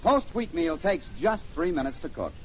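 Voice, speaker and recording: A man's voice: fairly high pitch, very animated, normal speed. A man reading a 1950s radio commercial as an enthusiastic salesman. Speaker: cereal commercial announcer. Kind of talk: 1950s scripted radio advertising. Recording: muffled old radio recording, faint hiss.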